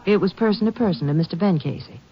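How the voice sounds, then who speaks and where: A woman's voice: fairly low pitch, fast, slightly rough. A woman acting a young small-town telephone operator in an old radio drama, polite, precise and forthcoming, with an American accent. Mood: helpful. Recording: muffled vintage radio recording.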